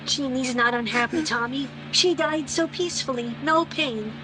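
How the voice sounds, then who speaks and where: A woman's voice: high-pitched, moderate pace, background noise, lightly acted. An actress playing a tender, soothing young woman in a sentimental 1930s radio drama style. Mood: tender consoling. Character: tender young woman consoling, quietly yearning.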